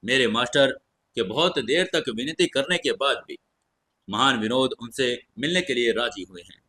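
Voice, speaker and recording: A man's voice: medium pitch, moderate pace, pushed and bright, slightly rough. A man voicing a haughty, mocking young female magic student in an audio story, with a scornful, condescending manner.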